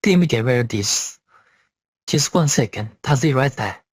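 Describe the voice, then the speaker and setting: A man's voice: medium pitch, animated, normal speed, breathy edge, dry recording, studio quality. A man giving a blunt, emphatic and casual review through a voice changer. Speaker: software reviewer.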